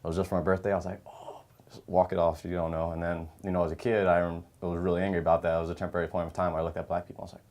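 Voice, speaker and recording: Young man panel guest, deep low voice, rapid casual storytelling. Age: young adult. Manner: fast, casual, animated anecdote telling.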